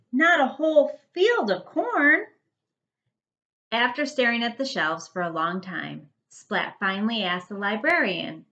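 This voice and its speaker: Female primary teacher for a children's read-aloud: unhurried, very expressive, emphatic, slightly lo-fi sound. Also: medium pitch. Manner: animated, emphatic storytelling. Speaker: first grade teacher reading to pupils.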